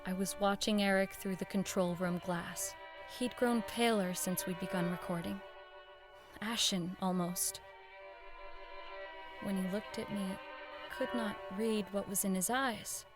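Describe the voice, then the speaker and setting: A woman playing an uneasy radio producer who recalls eerie events in measured, dramatic audio drama narration. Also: mid-range voice, fairly even intonation, close to an everyday voice. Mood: wistful, uneasy. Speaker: narrator and radio show director. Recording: violin music behind the voice.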